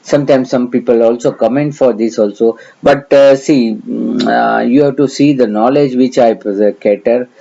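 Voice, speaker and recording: Gujarati-accented man, mellow voice, hesitant lecture, muffled lo-fi sound. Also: medium pitch, steady tone, normal speed, creaky, hardly any pauses. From India. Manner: hesitant, many fillers, earnest explaining. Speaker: astrology teacher.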